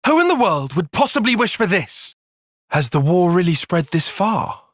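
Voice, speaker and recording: Precise young British tactician. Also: male voice, high voice, big pitch swings, dry recording. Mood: alarmed, flustered. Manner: measured, formal, articulate, dry. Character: young male tactician soldier, bookish, cautious, precise, exasperated. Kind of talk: video game cutscene voice acting.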